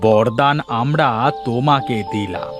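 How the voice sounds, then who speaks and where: A performer voicing a solemn old man granting a boon in a cartoon, speaking in a slow, drawn-out way. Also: mid-range voice, over music, pitched below the performer's own voice, lightly acted.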